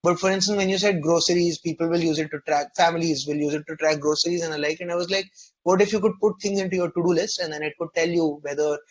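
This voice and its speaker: A man with a slightly high voice coaches someone after a mock interview. He speaks conversationally but articulately, explaining each piece of feedback in a constructive, orderly way. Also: steady tone, quick pace, rough recording.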